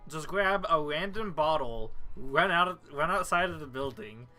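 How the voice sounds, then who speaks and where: A man's voice: on the high side, lively intonation, normal speed, sharp tone, soft background music. A man chatting casually and jokingly with friends during a video game stream. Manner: joking, informal.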